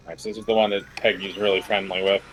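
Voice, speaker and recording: A man's voice: sits low, little pitch movement, racing, fairly bright tone, a little hiss or hum, rough recording. A man giving casual commentary as he plays a video game. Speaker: gameplay commentator.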